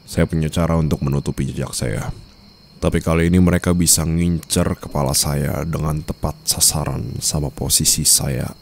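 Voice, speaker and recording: Casting: male actor as a domineering mafia boss for ASMR roleplay, extremely low and gravelly, cold, deliberate, teasing. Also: a little mellow, slight background noise. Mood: suspicious, probing. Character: cold, commanding young-to-middle-aged male mafia boss, teasing new husband. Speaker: roleplay voice performer.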